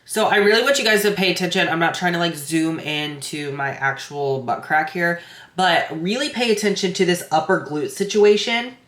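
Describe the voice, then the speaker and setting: A woman's voice: fairly low pitch, moderate pace, fairly bright tone. A congested woman, a bikini competitor and coach, chatting casually to her camera with lots of filler words. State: stuffy, congested nose. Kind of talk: casual vlog recap to camera. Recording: echoey room.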